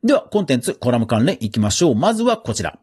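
Lively, swooping male podcast host. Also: medium pitch, fast talker, husky, faint music behind. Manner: conversational, chatty. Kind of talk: casual news commentary.

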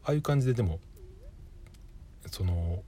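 A man's voice: low-pitched, lively intonation, normal speed, dark tone. A man hosting a podcast solo, talking casually and thinking aloud with frequent self-corrections. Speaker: podcast host.

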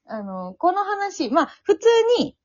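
Kansai-accented woman, amateur actor in relaxed conversation, lively swooping pitch, close dry sound. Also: takes it slowly, no room sound. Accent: Kansai Japanese. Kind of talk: casual after-show chat. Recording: dry, dull lo-fi audio.

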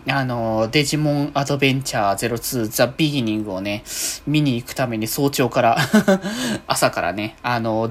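Low-voiced woman, lively intonation. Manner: rambling, self-correcting. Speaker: podcast host. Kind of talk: casual solo free talk.

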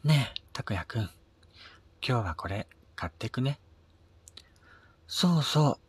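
A man voicing a friendly young married mother in a radio story, chatty and cheerful, with a husky voice. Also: medium pitch, animated, slow pace, pitched above the performer's own voice, heavily acted. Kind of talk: radio drama story acting.

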